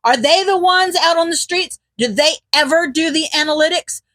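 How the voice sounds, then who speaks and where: Woman playing young male specialist, excitable, emphatic, high-pitched, dry recording, audio story character. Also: normal speed, no echo. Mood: indignant. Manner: indignant, emphatic, cursing, nervous stammering. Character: ranting, foul-mouthed, excitable young man, a disaster specialist.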